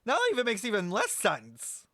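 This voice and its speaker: Higher-voiced man streaming, dry close sound. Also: wildly swooping pitch, normal speed, sharp tone, no room sound. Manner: rambling, thinking aloud, profane. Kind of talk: casual game stream commentary.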